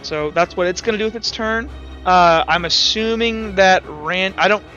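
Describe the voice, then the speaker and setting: A man running a tabletop roleplaying game as game master in a casual, halting way, thinking aloud as he narrates. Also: high voice, pushed and bright, highs cut off, faint music behind.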